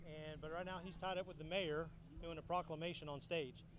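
A man co-hosting a live stream, talking in a relaxed, offhand way while waiting for guests. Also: fairly high pitch, a little fast, background noise. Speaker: co-host. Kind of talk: casual live stream filler.

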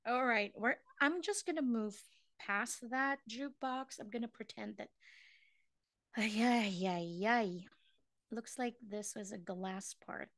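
A woman's voice: fairly high pitch, fairly bright tone, no room sound, studio quality. A middle-aged woman from the Philippines teaching a live online drawing class, chatting with viewers, laughing at her own drawings and singing bits of songs as she works. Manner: chatty and self-mocking, laughs often, sings snatches of songs while drawing. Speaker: online craft class instructor.

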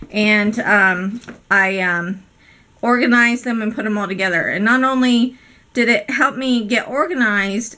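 A chatty woman hosts a casual crafting video from her craft room, her voice hoarse from a cold. Her tone is bright and forward, and she keeps apologizing and filling pauses with ums. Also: mid-range voice. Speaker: craft channel host. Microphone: overhead camera, speaker off screen.

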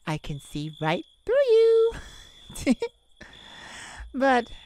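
Woman playing a slow, lively-pitched teasing vampire. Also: full character voice. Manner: teasing, dramatic, with pauses and frequent chuckles. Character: playful, teasing, flirtatious immortal vampire. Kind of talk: slow intimate ASMR roleplay.

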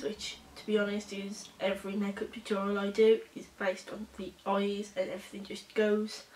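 A young woman with a throat still hoarse from a cold, talking unhurriedly through a makeup tutorial. She rambles and restarts, with plenty of fillers. The room around her is echoey. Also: medium pitch, a little hiss or hum. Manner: rambling, halting, with many fillers. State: hoarse, recovering from a cold, coughing. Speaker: beauty vlogger. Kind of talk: casual makeup tutorial chat. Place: small bedroom indoors.

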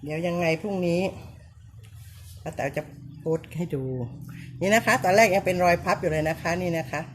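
A woman, called auntie by herself, giving a casual home demonstration, speaking politely and repeating short pointing phrases with soft polite endings. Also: on the low side, a little fast, noisy background. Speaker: vlogger showing how to clean banknotes.

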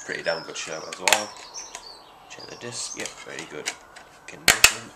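Stuffy-nosed young man, deep voice. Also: normal speed. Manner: rambling, many ums and restarts. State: congested from hay fever. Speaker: vlogger. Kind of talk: casual unboxing vlog. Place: small room at home, indoors. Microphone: webcam at desk. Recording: noisy home webcam audio.

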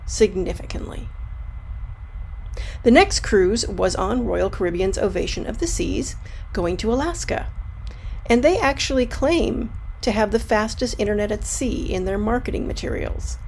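A woman's voice: mid-range voice, darkish tone, a little hiss or hum, poor audio. A woman travel vlogger narrating a scripted voice-over review in a wry, matter-of-fact way.

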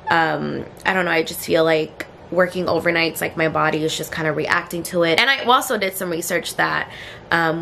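A woman's voice: creaky, fairly bright tone, a little hiss or hum. A young woman in her twenties vlogging at home with a cold, sounding stuffed up, tired and rambling, talking to a camera held at arm's length. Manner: tired, low-energy, rambling with many fillers. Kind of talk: casual vlog chat to camera. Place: bedroom and then a kitchen at home, indoors. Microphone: handheld camera at arm's length.

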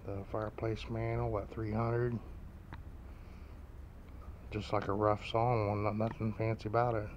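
A low-pitched man rambles casually as he films a walk-through vlog inside a large store. His delivery is low-key and flat, as if thinking aloud, with clear background ambience around him. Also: unhurried, pauses often, muffled recording, slight background noise. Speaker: vlogger. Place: large indoor antique mall, walking around.

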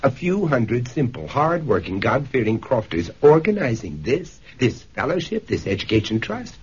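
An actor playing a shrewd, sardonic, probing professor in a 1970s radio drama. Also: male voice, medium pitch, sing-song, moderate pace, slightly rough. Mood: earnest. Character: shrewd, wry male professor investigating a conspiracy. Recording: dull vintage radio recording.

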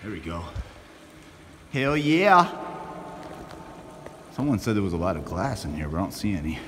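A man chatting casually and excitedly to viewers as he films himself riding a bicycle. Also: deep voice, moderate pace. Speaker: vlogger narrating his bike ride. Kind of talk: casual first-person vlog chat. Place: riding outdoors and through a long road tunnel. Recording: loud wind and ride noise on mic.

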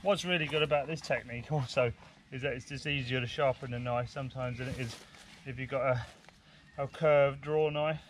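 A man's voice: medium pitch, steady tone, brisk. A man in his forties giving a casual, hands-on bushcraft demonstration outdoors in woodland, talking informally as he works. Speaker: bushcraft instructor. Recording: clear outdoor background noise, woodland ambience.

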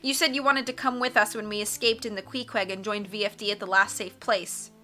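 An earnest young boy from a storybook , read by a woman. He reasons insistently, with an indignant edge and a crisp, very bright tone. Music can be heard with the voice. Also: medium pitch, fairly even intonation, brisk, natural voice.

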